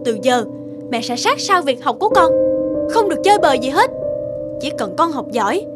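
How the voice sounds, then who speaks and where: A woman's voice: sits high, sing-song, moderate pace. A woman voicing an anxious, doting cartoon mother obsessed with her son's grades, emphatic, swinging between scolding and gushing. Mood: stern, pushy. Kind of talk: animated moral tale dubbing. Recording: loud music behind the voice.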